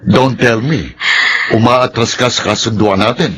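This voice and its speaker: A man's voice: mid-range voice, unhurried, a bit bright, a little hiss or hum, close to an everyday voice. A man playing a domineering, possessive father in a radio drama. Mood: menacing. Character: domineering, possessive rich man demanding his child.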